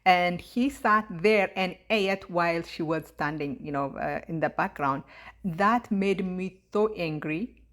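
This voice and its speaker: A young woman with a thick East African accent, talking in a casual monologue, indignant and halting, with many fillers and restarts. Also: lowish voice, a bit bright. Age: young adult. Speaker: YouTube commentator.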